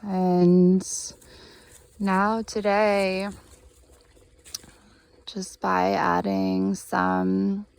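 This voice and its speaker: A woman in her thirties leading a guided meditation outdoors, speaking gently and earnestly with long pauses. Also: mid-range voice, level tone, gravelly, a little hiss or hum. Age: 30s. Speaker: guided meditation host, healer. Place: outdoors in the woods by a spring.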